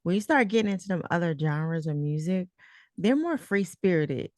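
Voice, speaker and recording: A woman's voice: fairly low pitch, lively intonation, moderate pace, darkish tone, no echo, studio quality. A woman hosting a casual interview, chatting in an informal, slangy way with a playful, teasing streak. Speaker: host and interviewer.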